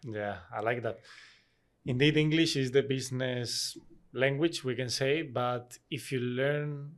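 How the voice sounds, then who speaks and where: A man hosting a casual interview, relaxed and agreeable, building on his guest's points. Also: mid-range voice, unhurried. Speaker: interview host.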